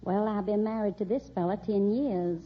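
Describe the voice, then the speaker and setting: A woman's voice: mid-range voice, normal speed. Good-humored Southern American woman contestant. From Macon, Georgia, USA. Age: middle-aged. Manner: good-humored, giggly, respectful. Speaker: quiz show contestant. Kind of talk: chatty personal answers to a host. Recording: muffled old radio recording, noisy audience. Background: studio audience.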